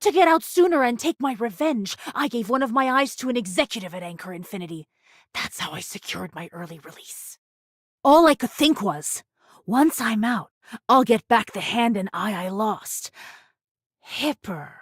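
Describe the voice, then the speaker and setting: A woman's voice: fairly high pitch, lively intonation, moderate pace, no room sound, clean studio sound. A woman voicing a vengeful, taunting female pirate in an anime video game, with a menacing, theatrical way of talking. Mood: bitter, taunting, then menacing, obsessed. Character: vengeful, taunting female pirate first mate, one-eyed ex-convict.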